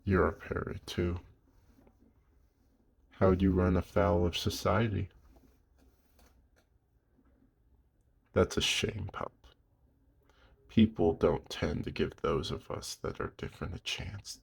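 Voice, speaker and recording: Old lone werewolf, played by a man: rock-bottom pitch, heavily raspy, weary, protective and tender under the gruffness, close ASMR audio drama. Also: steady tone, full character voice. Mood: gentle, protective. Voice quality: very deep, husky, gravelly. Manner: slow, hushed, with long pauses. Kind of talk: intimate ASMR audio roleplay.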